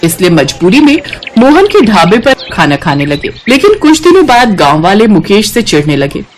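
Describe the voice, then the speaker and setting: A woman's voice: mid-range voice, sing-song, moderate pace, slight background noise. A woman narrating a scripted moral story as a storyteller.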